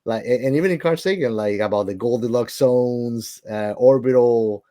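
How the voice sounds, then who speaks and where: A man's voice: medium pitch, moderate pace, dark tone, dry recording, clean studio sound. A man co-hosting a podcast in casual chat, enthusiastic and repeating words for emphasis. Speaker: podcast co-host.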